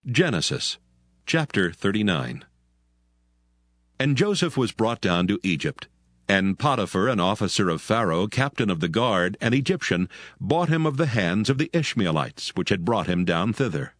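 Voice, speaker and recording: A man narrating an archaic scripture text in a measured, solemn reading. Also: lowish voice, sing-song, a bit bright, no room sound.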